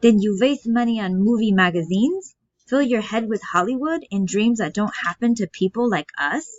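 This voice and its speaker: A woman's voice: mid-range voice, lively intonation, normal speed, voice pushed up, natural voice. Woman playing bitter old grandmother, domineering, stage play reading, dull lo-fi sound. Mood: cold, commanding. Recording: dull, muffled lo-fi audio.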